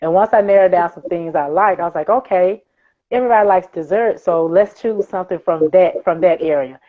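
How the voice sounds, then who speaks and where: Lower-voiced woman, tinny line. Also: brisk. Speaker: guest, playwright. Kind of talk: conversational explanation of own work. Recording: tinny phone-like call audio.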